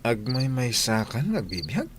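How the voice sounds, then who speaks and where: An actor playing a friendly, concerned boss in a radio drama, with an Ilocano accent. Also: male voice, medium pitch, huge pitch swings, unhurried, background noise. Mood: sympathetic. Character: friendly, concerned male employer, easygoing and protective.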